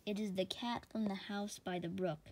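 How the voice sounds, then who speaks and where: Female storybook reader, average pitch, slightly halting delivery for children. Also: steady tone, a little mellow. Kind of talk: reading a children's storybook aloud.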